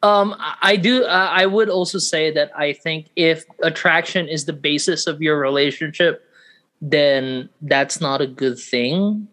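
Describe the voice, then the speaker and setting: A man's voice: on the high side, unhurried, pushed and bright. A man hosting a casual podcast, talking in a hesitant, playful way and often restarting his sentences. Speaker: podcast host. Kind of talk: casual chat.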